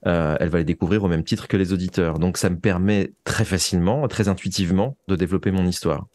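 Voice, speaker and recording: A man's voice: low voice, steady tone, fast, a little mellow, no echo, studio quality. A man speaking as an interview guest about his creative work, reflective and often searching for words. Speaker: interview guest, audio fiction writer and composer.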